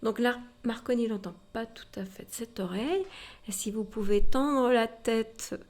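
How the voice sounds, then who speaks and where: A woman's voice: on the high side, moderate pace, darkish tone. Woman guide telling history. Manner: explanatory, addresses listeners directly with rhetorical tags. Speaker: museum guide. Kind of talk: informal spoken historical storytelling to a visitor.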